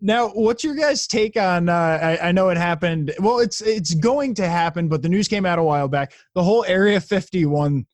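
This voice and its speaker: A man's voice: high voice, expressive, moderate pace, hardly any pauses, dry recording. A man chatting casually as a podcast host. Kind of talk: casual chat.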